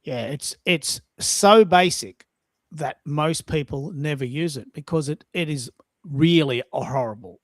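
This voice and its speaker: A man's voice: a little high, unhurried, no room sound, studio quality. A man hosting a casual live-stream chat, informal, rambling and blunt in his way of talking. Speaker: host, social media coach.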